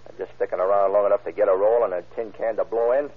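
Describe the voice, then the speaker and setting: A hard-boiled American crook, played by a man in vintage radio drama style, boastful and defiant in street slang. His voice is lowish and rough, heard through a thin, tinny old broadcast recording. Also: steady tone, fast talker, close to an everyday voice. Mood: brash, defiant. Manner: slangy, defiant tough-guy talk. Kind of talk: old-time radio crime drama acting.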